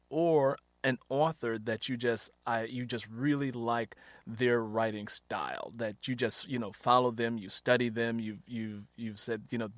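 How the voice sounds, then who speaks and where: A man's voice: medium pitch, dry recording. A middle-aged man hosting a casual interview, chatty and rambling, often repeating his phrases as he goes. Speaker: interviewer, podcast host.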